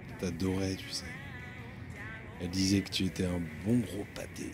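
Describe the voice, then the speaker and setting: A man's voice: low voice, level tone, normal speed, music in the background, lightly acted. A man playing a young cat owner who talks to his pet while driving, rambling and stammering, with the odd swear word. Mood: tender. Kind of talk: audio drama acting.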